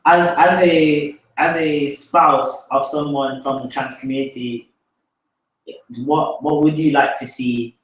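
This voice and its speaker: Male call-in host, slightly higher voice, laughs easily, halting restarts, distant echoey studio sound. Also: fairly even intonation, fairly bright tone. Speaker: host of a live call-in show. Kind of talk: live call-in chat. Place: small studio with a plain backdrop. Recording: echoey room from far off.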